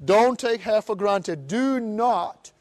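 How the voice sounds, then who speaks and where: A middle-aged man from Brazil with a Brazilian Portuguese accent, giving an animated seminar talk and acting out other people's voices. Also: high-pitched, slow pace. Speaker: motivational speaker. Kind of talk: live seminar presentation.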